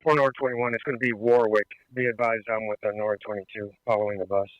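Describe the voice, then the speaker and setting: A man's voice: lowish voice, little pitch movement, fast, no room sound. A male patrol officer giving matter-of-fact, procedural status reports over police radio. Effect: police radio transmission. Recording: muffled band-limited police radio.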